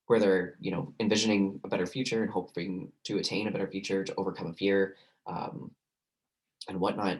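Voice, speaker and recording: A man lecturing on a recorded class, hoarse and losing his voice, talking loosely with many fillers and restarts. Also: low voice, low-quality recording. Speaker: university lecturer.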